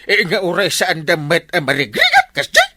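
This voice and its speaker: Excitable, wildly swooping Ilocano village man. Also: high voice, moderate pace, no echo, full character voice. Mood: excited, incredulous. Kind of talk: Ilocano radio comedy drama acting.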